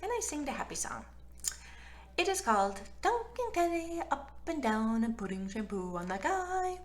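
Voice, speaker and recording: Very animated, high-voiced woman storyteller. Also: normal speed. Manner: animated, childlike delivery. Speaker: children's book reader. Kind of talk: expressive read-aloud storytelling for children.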